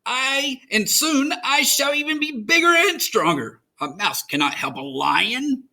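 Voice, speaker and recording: A man's voice: very high voice, sing-song, a little slow, gravelly, pushed and bright, voice pushed up, exaggerated voice. A man voicing a proud, boastful male lion with an emphatic manner for a children's storybook. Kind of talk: children's storybook read-aloud.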